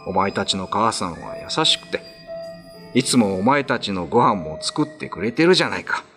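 A man's voice: fairly low pitch, sing-song, normal speed, fairly bright tone, faint music behind. A man playing a disbelieving father who scolds his children, stern and incredulous, in a radio drama. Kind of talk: radio ghost-story drama.

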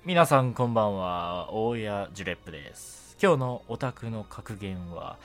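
A man hosting a casual, chatty night radio show in a relaxed, gently self-deprecating way. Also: lowish voice, moderate pace, soft background music.

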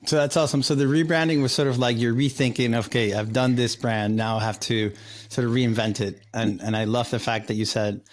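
A man's voice: mid-range voice, steady tone, fairly quick. Encouraging male interview host. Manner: encouraging, sums up the guest's points. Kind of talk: casual interview.

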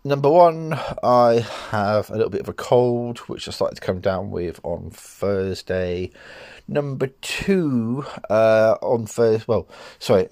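A middle-aged man hosting a solo podcast, talking in a measured, rambling way and often correcting himself, his voice nasal from a cold. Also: fairly low pitch, darkish tone, no room sound. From United Kingdom. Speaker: podcast host.

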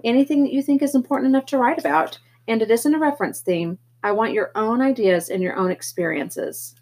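A woman's voice: on the high side, a little fast, a little mellow, breathy edge. A woman reading a story aloud, voicing a male teacher giving an assignment. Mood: instructive. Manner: instructive.

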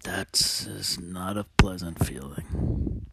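A man talking casually as a host, telling personal stories in a halting, hesitant, confiding way. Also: deep voice, creaky, heavy background noise.

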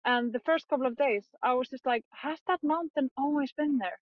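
A young woman photographer chatting in an interview, talking quickly with many fillers and frequent laughs. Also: high-pitched, no room sound, dull audio. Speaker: photographer guest. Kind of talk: casual interview chat.

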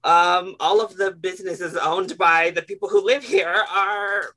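Bright, wry male podcast co-host. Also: high voice, fairly even intonation, measured pace, poor audio. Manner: wry, joking, laughs often. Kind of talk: casual chat. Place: Denver.

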